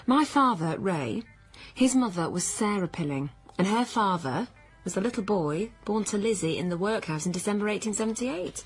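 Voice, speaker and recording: A woman's voice: mid-range voice, animated, moderate pace, soft background music, close to an everyday voice, low-quality recording. An actress playing a troubled, stubborn pregnant career woman, earnest and defensive, in naturalistic radio drama. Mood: explaining, defensive.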